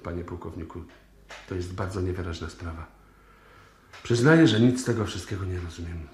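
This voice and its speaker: Man as a low-voiced puzzled inspector. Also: fairly quick, lightly acted. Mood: baffled. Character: puzzled, excitable male police inspector. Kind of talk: 1960s Polish radio drama acting. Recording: roomy, noisy vintage radio recording.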